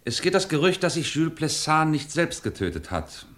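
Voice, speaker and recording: An actor playing a composed, courteous police inspector who questions suspects formally and insistently in a radio crime drama. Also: male voice, a little high, sing-song, moderate pace, fairly bright tone. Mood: probing, insistent.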